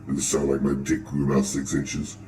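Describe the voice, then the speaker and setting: A man using a voice changer to play a boastful, ultra-deep version of himself, bragging crudely. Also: completely flat delivery, fairly quick, warm tone. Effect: voice changer lowering the voice. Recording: roomy distant sound with faint noise.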